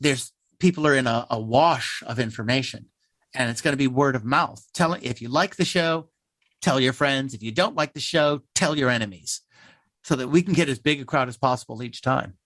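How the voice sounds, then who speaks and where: A man's voice: medium pitch, moderate pace, fairly bright tone, no echo. An American man, a theater playwright, answering interview questions in an earnest, emphatic, talkative conversational way.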